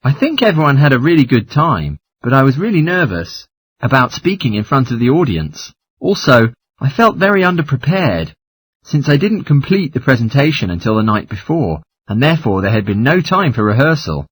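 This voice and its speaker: A man reading scripted listening test dialogue and lecture in a formal, measured, articulate way, with a slightly husky voice. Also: mid-range voice, big pitch swings, no echo.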